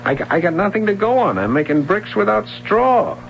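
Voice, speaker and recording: An actor playing an earnest young police sergeant who gets defensive and agitated. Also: male voice, high voice, sing-song, brisk, gravelly, a little hiss or hum, full character voice. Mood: harried. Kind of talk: 1970s radio drama acting. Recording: dull lo-fi radio broadcast.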